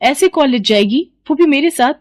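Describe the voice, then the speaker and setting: A woman's voice: high voice, expressive, moderate pace, voice pushed up. A woman voicing a spoiled, bossy college girl who looks down on her sister. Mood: sulky. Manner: sulky, dismissive.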